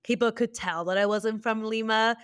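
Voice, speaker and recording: A woman's voice: fairly high pitch, fairly even intonation, normal speed, clear, resonant tone, dry recording, studio quality. Bubbly young woman podcast co-host. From Peru. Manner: bubbly, lots of "like" and laughter. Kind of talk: casual chat.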